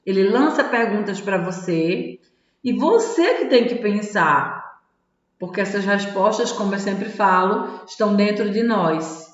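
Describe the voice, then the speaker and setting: A woman's voice: medium pitch, lively intonation, measured pace. A woman who coaches tarot and personal development, reading a self-help book aloud and commenting on it in a chatty way, often adding little "tá?" and "né?" tags. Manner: chatty, peppers sentences with "tá?" and "né?" tags. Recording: echoey room with long reverb, dull lo-fi sound.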